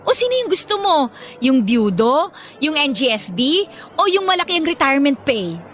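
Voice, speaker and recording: A woman's voice: high-pitched, sing-song, normal speed, fairly bright tone. A woman with a Filipino accent playing a pushy, teasing young adult daughter in a radio drama. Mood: insistent.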